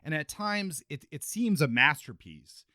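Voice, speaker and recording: A man's voice: fairly high pitch, sing-song, a little slow, bright, forceful tone, no echo, studio quality. A man co-hosting a podcast, reviewing and discussing books. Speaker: podcast co-host.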